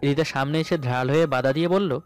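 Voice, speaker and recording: A man's voice: a little high, fairly quick. A man narrating a romantic story dramatically in the first person, as its male lead. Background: background music.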